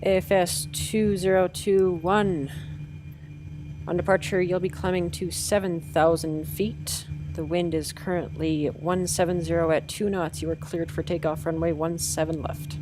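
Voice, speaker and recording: A woman acting as air traffic controller, giving formal clearances and headings in standard radio phraseology. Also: fairly low pitch, flat delivery, unhurried.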